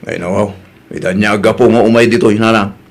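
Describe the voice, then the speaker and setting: A man's voice: on the low side, fairly even intonation, fairly quick, close to an everyday voice. A man with a Filipino Ilocano accent playing a stubborn, defensive young son in a comedy drama. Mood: annoyed.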